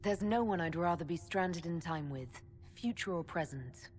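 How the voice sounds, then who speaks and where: An actress playing a resolute young female hero and leader in a video game, speaking in a firm, measured way. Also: fairly low pitch, lively intonation, a little mellow, in a large hall, slight background noise, lightly acted. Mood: dry humour. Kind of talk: video game cutscene acting.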